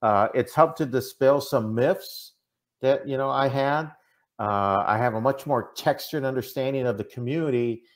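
A man's voice: medium pitch, normal speed. Middle-aged man explaining on a video call. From Minnesota, United States. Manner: earnest, often checks in with "right?". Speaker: interview guest, diversity consultant. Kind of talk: conversational interview answers. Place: indoor room, on a video call. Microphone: video call microphone.

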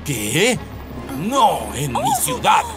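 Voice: medium pitch, huge pitch swings, drawn-out words, heavily acted. An actor playing a grumbly grown male town policeman in a children's cartoon dub, with music underneath. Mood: flustered.

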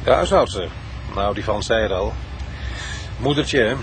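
An actor playing a matter-of-fact police detective in a radio crime drama, sceptical and plain-spoken. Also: male voice, fairly low pitch, lively intonation, normal speed. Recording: noisy old radio drama recording.

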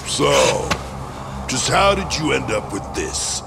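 A man's voice: mid-range voice, big pitch swings, slow pace, creaky, very noisy, heavily acted. An actor playing an angry, foul-mouthed, middle-aged warehouse foreman in a video game, aggressive and bellowing. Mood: menacing suspicion. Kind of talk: dramatic video game voice acting. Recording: loud music behind the voice. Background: loud music.

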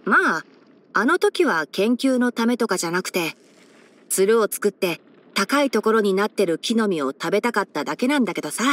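A woman voicing a boyish young male fox-eared forest ranger and scholar in an anime game, instructive and frank with a gently teasing manner. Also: mid-range voice, sing-song, fairly quick. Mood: reflective. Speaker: character voice actor. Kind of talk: anime game character voice lines.

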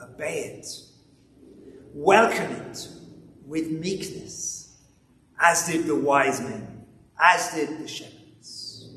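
An elderly man preaches in a large, echoing church, picked up from a distance. His delivery is very slow and solemn, with weighty pauses and deliberate repetition. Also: mid-range voice, fairly bright tone. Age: 70s. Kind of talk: sermon with scripture reading. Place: large church interior, standing at a lectern. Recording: echoey hall, distant mic, background noise.

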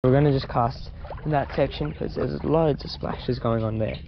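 Excitable young Australian man, creaky voice. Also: mid-range voice, moderate pace, dull audio, slight background noise. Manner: excitable, colloquial. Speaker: fishing vlogger. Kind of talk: casual live vlog commentary. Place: outdoors on paddle board on river. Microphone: body-mounted action camera.

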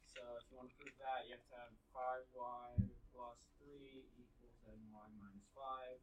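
A man's voice: medium pitch, little pitch movement, normal speed, background noise. A male student answering a math question in class, speaking offhand from across the room.

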